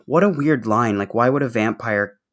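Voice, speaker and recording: A man co-hosting a podcast, talking casually and haltingly with plenty of asides. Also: mid-range voice, fairly bright tone. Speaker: podcast co-host. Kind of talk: casual chat.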